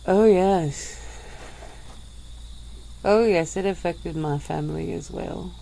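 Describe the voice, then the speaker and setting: A woman doing an ancient teasing vampire lady, very slow soft speech, low gravelly voice, crickets faint behind. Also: slight background noise, poor audio. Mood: teasing, languid. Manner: slow, soft-spoken, with breathy little laughs. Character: centuries-old female vampire, teasing and calm. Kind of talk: ASMR roleplay.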